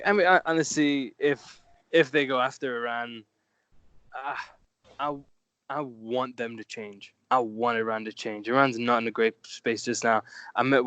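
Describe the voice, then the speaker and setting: A man talks as a guest on a casual podcast, blunt and free with his swearing. His voice sits at an ordinary middle pitch and is recorded dry and close, with no room sound. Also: a bit bright, clean tone, no room sound. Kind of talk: casual chat.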